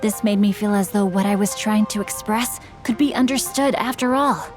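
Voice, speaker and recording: A woman's voice: mid-range voice, moderate pace, music in the background, natural voice. A woman playing a polite, bookish teenage boy novelist in a video game, speaking in formal, eloquent phrases. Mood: earnest, courteous. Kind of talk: video game character voice acting.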